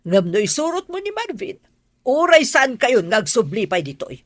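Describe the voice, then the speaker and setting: A woman's voice: a little high, big pitch swings, moderate pace, no room sound. A woman with an Ilocano accent playing a stern, exasperated but caring middle-aged mother in a radio drama.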